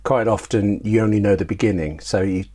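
A man's voice: on the low side, brisk, warm tone. A man talking casually as an interview guest, telling anecdotes in a wry, self-deprecating way with rambling asides. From Britain.

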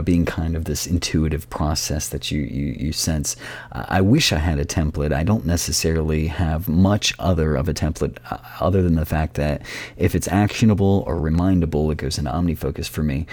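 A man's voice: rumbling low, darkish tone, no room sound. A man hosting a conversational podcast interview, phrasing questions and remarks in a wordy, considered way. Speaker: interview host.

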